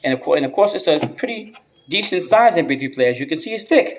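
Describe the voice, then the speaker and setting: New guy doing a casual gadget review, kinda higher voice, slangy, repeats himself a lot. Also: lively intonation, fast talker. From United States. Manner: slangy, repeats words and phrases. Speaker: gadget reviewer and video host. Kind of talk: casual unboxing and review vlog.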